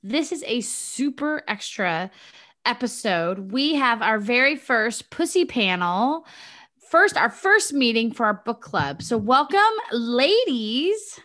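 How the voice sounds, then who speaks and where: Bright upbeat woman in her forties. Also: on the high side, very animated, slow pace. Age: 40s. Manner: laughing, upbeat, chatty. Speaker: podcast host. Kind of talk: casual chat.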